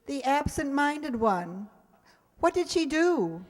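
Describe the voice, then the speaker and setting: A woman playing a wry, patient, gently sarcastic schoolteacher in an old-time radio comedy. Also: sits high, sing-song, takes it slowly, heavily acted. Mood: dry, patient. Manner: dry, wry delivery. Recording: very reverberant hall sound.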